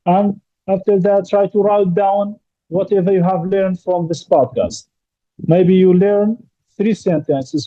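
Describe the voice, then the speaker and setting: A man with a high voice and a very dark, mellow tone, heard on a dull, dry recording. He gives advice emphatically and drives his points home by repeating them. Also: no room sound. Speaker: English teacher and interviewer. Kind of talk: instructive advice in interview.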